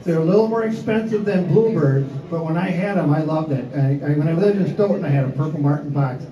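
A man introduces a convention speaker in a friendly, rambling way. His voice sits high. The dull sound comes from far off in an echoey hall. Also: quick pace, a crowd in the background. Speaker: event host introducing the speaker.

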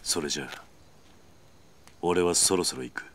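Veteran gangster hero: low, forceful voice, grave and terse, commanding yet protective, game cutscene. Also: male voice, moderate pace, a little hiss or hum. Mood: grave, resolute. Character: stoic, commanding veteran yakuza man, fiercely protective. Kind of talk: dramatic video game voice acting.

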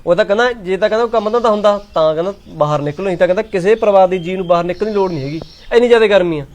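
A young man acting as a son in a family drama, calmly explaining things at length to his mother. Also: high-pitched, racing, close to an everyday voice. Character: patient adult son calming his mother.

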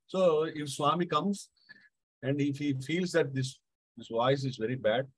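Higher-voiced South Indian man, halting storyteller. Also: breathy edge, no echo, rough recording. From Andhra Pradesh, India. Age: middle-aged. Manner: halting, repeats phrases. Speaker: Carnatic musician, guest speaker. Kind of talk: devotional anecdote, casual spoken storytelling.

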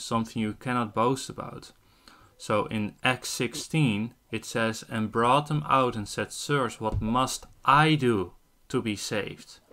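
A man's voice: mid-range voice, a bit bright. A twenty-year-old man from the Netherlands preaching in an online chat, insistent and given to quoting scripture. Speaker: street-style online preacher. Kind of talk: evangelistic debate in casual chat.

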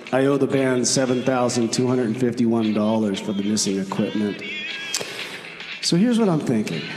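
A man's voice: mid-range voice, lightly acted. A man playing a cocky, chatty, upbeat time traveler in a comedy radio play. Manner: upbeat, self-assured, chatty. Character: breezy, cocky male time traveler and would-be musician. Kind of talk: comedy radio theater monologue. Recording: echoey, long reverb. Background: music.

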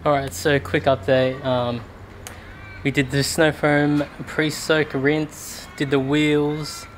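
A young man casually explaining how he details his car outdoors in a driveway, relaxed and full of ums. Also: on the high side, slow, slight background noise. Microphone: camera mic, later a GoPro.

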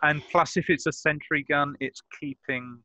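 A man speaks casually while working out a plan as a role-playing game player. His voice is bright and forward, at an average pitch. The sound is close and dry. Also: fairly even intonation, moderate pace, no echo, rough recording. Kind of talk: casual in-game planning talk. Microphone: close mic on a group video call.

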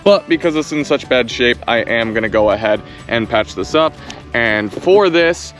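A man in his thirties speaks at an even middle pitch, explaining roof repairs in a practical, easygoing way. He holds the camera himself at arm's length, outdoors among the trees. Soft music sits faintly in the background. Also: normal speed. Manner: relaxed, practical, talks straight to camera. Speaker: vlogger. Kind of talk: casual explaining how-to vlog. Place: outdoors on a camper roof in woods. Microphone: camera held at arm's length.

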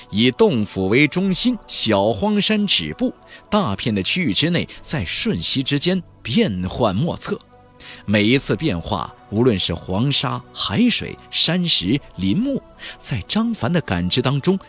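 A male audiobook narrator with a husky voice reads fantasy fiction dramatically. His intonation is extremely animated, around a middling pitch. Faint music plays behind him.

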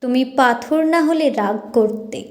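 A woman reciting poetry dramatically, in a solemn, impassioned, deliberate declamation. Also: sits high, darkish tone, reverberant.

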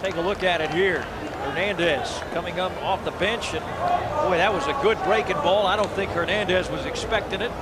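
A man's voice: sits high, brisk. A man giving conversational analysis as a color commentator on a college baseball broadcast. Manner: conversational analysis, lifts for big plays. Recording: loud crowd and stadium noise behind.

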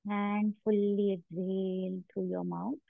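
A woman guiding a meditation as a yoga instructor, giving measured instructions with long pauses between them. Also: mid-range voice, level tone, gravelly, dry recording. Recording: narrow phone-like sound, very dry.

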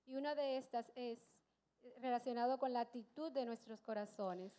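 A woman's voice: a little high, normal speed. A woman on a church stage interpreting a sermon line by line, with a few self-corrections. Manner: steady consecutive interpreting, occasional self-corrections. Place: church stage, large hall.

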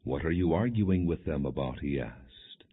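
A man reading scripture as an audiobook narrator, in a solemn, measured, scripted storytelling style. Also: extremely low pitch, animated, breathy edge. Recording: muffled, narrow phone-like audio.

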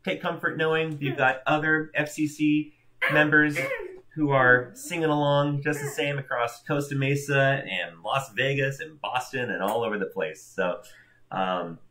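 A man's voice: mid-range voice, fairly even intonation, measured pace, pushed and bright, slight background noise. A man in his thirties hosting a church livestream from home, chatting cheerfully and greeting viewers by name in a loose, rambling way. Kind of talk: casual welcome chat. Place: home room in front of a backdrop.

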